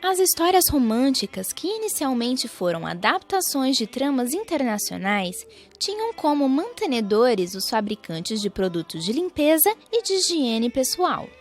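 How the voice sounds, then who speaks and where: Brazilian female narrator, higher register and expressive delivery, for scripted radio documentary. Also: a little slow, few pauses. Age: young adult. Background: music.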